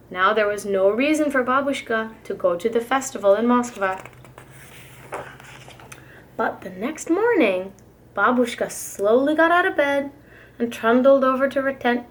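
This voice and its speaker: A woman preschool teacher reading a picture book to young children in an expressive, careful storytelling manner. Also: on the high side.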